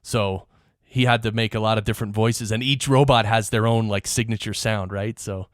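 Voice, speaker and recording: A man's voice: lowish voice, fairly even intonation, normal speed, no room sound, studio quality. A man hosting an interview show, chatting in an informal, rambling, conversational way. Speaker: podcast host. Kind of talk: casual interview chat.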